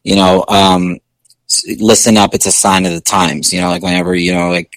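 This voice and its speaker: Low-voiced man talking loosely. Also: little pitch movement, brisk, fairly bright tone, dry recording. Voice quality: low speaking voice. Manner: loose and conversational, many fillers and restarts. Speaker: singer being interviewed. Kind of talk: casual interview chat.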